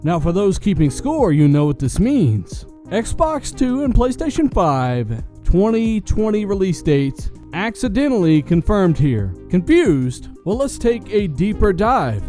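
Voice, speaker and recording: Male gaming news host, high register but soft-edged tone, emphatic scripted delivery, faint music underneath. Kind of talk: scripted video news commentary to camera-style audience.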